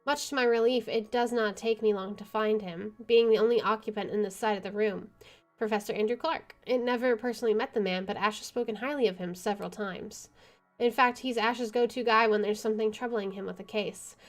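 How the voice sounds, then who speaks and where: A woman's voice: mid-range voice, fairly even intonation, a little fast, faint music behind. A woman streaming a video game, reading its story narration aloud and adding casual asides.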